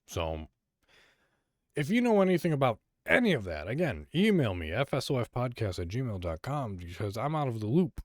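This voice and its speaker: A man's voice: mid-range voice, sing-song, moderate pace, dry recording, studio quality. A man from Illinois hosting a solo podcast, talking casually and rambling with frequent fillers and restarts. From Illinois, USA. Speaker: podcast host.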